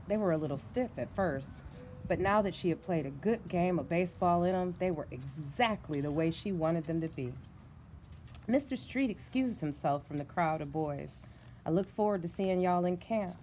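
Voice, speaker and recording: A middle-aged woman reading a storybook aloud in an encouraging, conversational way, pausing to put questions to a young listener. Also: fairly low pitch, dark tone, a little hiss or hum, rough recording.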